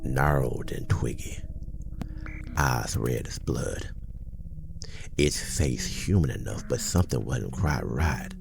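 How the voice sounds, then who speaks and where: A man playing an older small-town man, telling an eerie tale in a slow, folksy ASMR horror roleplay with deliberate pauses. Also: music in the background, exaggerated voice. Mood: eerie, measured. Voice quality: very deep, gravelly.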